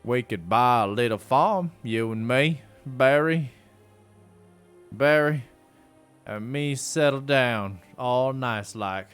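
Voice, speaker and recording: A man voicing a scheming young peasant yokel with a deep, drawling put-on Southern accent, folksy in his talk. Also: slow pace, a bit bright, clear, resonant tone, faint music behind. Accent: put-on American Southern drawl.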